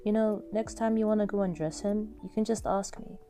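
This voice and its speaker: A woman plays a composed young female friend in an audio story. Her teasing is pointed but understated, in a soft dark tone over music. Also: medium pitch, fairly even intonation, brisk, lightly acted. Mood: dry teasing.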